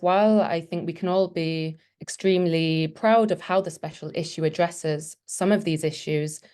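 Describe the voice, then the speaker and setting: A woman with a fairly low voice delivers a prepared academic talk. She moves through her points in a measured, formal way, setting them out one by one. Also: darkish tone. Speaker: webinar presenter.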